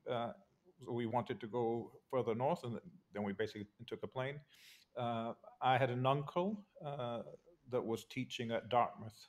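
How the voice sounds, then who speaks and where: An older man from Argentina, a guest on a video call, telling his life story in a relaxed, measured way with many pauses and fillers. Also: medium pitch. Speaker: interview guest, political scientist. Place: home study with bookshelves. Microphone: video call webcam.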